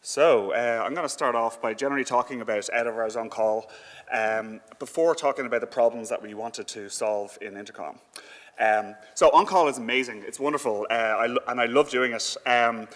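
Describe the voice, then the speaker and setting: A man giving an informal conference presentation in a conversational, halting way with frequent filler words. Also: mid-range voice, fairly bright tone. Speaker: conference speaker. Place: indoor conference hall. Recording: echoey hall with long reverb.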